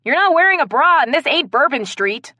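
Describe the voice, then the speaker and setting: A woman narrator voicing a snide, suspicious woman with a husky voice in a comic mystery story. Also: on the high side, expressive, normal speed, no room sound, voice pushed up, lightly acted. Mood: accusing. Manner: snide, accusing.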